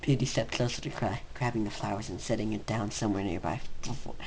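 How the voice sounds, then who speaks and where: A man's voice: fairly low pitch, steady tone, fast. A man giving an amateur dramatic reading of fan fiction as the story's narrator. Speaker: story reader. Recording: noisy home recording.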